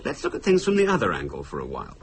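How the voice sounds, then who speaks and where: Musing husband, highly animated intonation, deliberate emphasis, radio play, faint background noise. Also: male voice. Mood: intent, speculative. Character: speculative, musing husband in a supernatural thriller. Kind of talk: radio drama acting.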